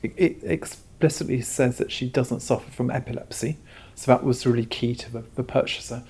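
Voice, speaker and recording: A man's voice: mid-range voice, normal speed, a little mellow, husky. A man interviewed as a curator, explaining things in an earnest, reflective way. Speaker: exhibition curator being interviewed.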